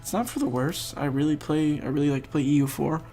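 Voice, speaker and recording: Young male gaming host, congested and gravelly, rambling play-by-play. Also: fairly high pitch, quick pace, dark tone, soft background music. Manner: rambling, thinking aloud while playing. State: congested, losing his voice. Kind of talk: casual gameplay commentary.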